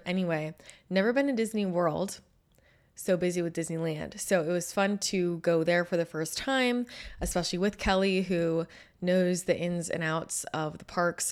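A woman in her thirties hosting her own show, hoarse and a little scratchy after losing her voice, talking in an upbeat, chatty way. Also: lowish voice. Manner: chatty and upbeat, with laughs and fillers. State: hoarse, recovering from lost voice. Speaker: podcast host. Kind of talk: casual solo chat.

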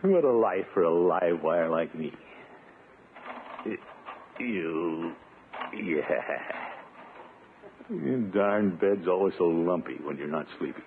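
An actor playing a playful, grumbling middle-aged bachelor uncle in a blustery 1950s radio sitcom style. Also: male voice, low voice, expressive, slow pace, long pauses, full character voice. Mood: grumbling, restless. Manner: blustery, wheedling, theatrical grumbling. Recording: muffled, narrow-band 1950s radio recording, hiss.

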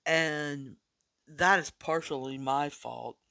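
A man hosts a sports betting podcast, talking through his picks slowly and casually. His voice sits a bit high and still sounds worn from losing it recently. The recording is dry and close. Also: vocal fry, sharp tone, no room sound. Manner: casual, chatty, deliberate picks. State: recovering from losing his voice, coughing. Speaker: podcast host.

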